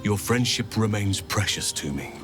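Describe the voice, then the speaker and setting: An actor playing a gentle, long-lived druid in a video game, speaking earnestly in a measured, formal, tender way. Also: male voice, fairly low pitch, lively intonation, music in the background, close to an everyday voice. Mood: gracious resignation. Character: ancient, gentle, earnest male druid confessing love. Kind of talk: sincere video game acting.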